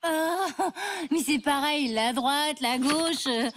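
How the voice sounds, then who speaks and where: High-pitched, whiny teenage girl. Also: slow, background noise. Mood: defensive. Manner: whiny, defensive. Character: flustered, grumbling teenage girl trainee group leader. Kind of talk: French cartoon voice acting. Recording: background music under dialogue.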